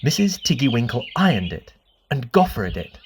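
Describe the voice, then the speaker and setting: Woman telling a bedtime story, really swoopy expressive voice, drawn out repeats, slight noise behind. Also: extremely low pitch. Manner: deliberate, dramatic, drawn-out repetitions.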